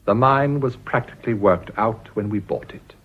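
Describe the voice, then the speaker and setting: A man's voice: lowish voice, normal speed, close to an everyday voice. An actor playing a ruthless, cynical English businessman in a radio drama, blunt and sardonic. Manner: sardonic, blunt, smoothly commanding. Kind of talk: broadcast radio drama acting. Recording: dull, lo-fi radio recording.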